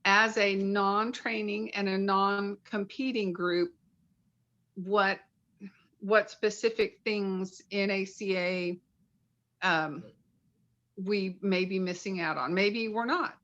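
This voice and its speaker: A woman's voice: mid-range voice, steady tone, slow, fairly bright tone, highs cut off. A woman from a paddling club asking questions on a video call, earnest and restarting her sentences.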